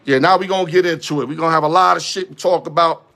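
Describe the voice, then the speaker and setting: A man's voice: on the high side, fairly even intonation, rapid-fire, creaky, pushed and bright, no echo. A man hosting a casual sports talk show, loose and joking, full of street slang. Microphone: headset or earpiece.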